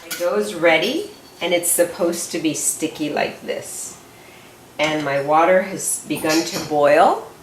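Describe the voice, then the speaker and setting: A woman's voice: sits low, a little slow, distant mic, a little hiss or hum. A woman walking through a recipe step by step in her home kitchen, talking in a homely, chatty way as she works. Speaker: home cook presenting a recipe. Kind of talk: step-by-step cooking demonstration. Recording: echoey kitchen, voice from off-mic. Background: clinking pots and cutlery.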